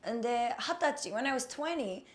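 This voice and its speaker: Female singer from Japan, slightly raspy, higher voice, cheerful in casual chat. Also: expressive, moderate pace. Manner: cheerful, mixes in Japanese words. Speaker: singer, podcast guest.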